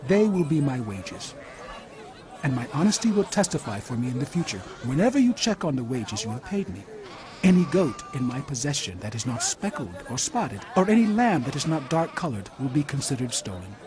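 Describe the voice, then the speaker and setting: An actor playing an earnest, determined shepherd patriarch in an audio Bible drama. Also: male voice, mid-range voice, big pitch swings, brisk, a crowd in the background, close to an everyday voice. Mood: earnest, insistent. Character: hardworking, determined shepherd patriarch Jacob, bargaining with his father-in-law.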